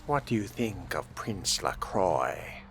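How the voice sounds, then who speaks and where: A man speaking in character as he plays a role-playing video game, with a deliberate, measured delivery. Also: sits low, big pitch swings, fairly bright tone, soft background music. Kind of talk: in-character role-play dialogue.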